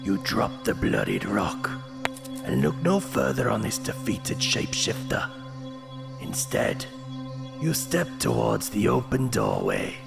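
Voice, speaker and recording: A man's voice: fairly low pitch, wildly swooping pitch, takes it slowly, gravelly. A man narrating a second-person horror gamebook in a dramatic, ominous way over music. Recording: music under the voice.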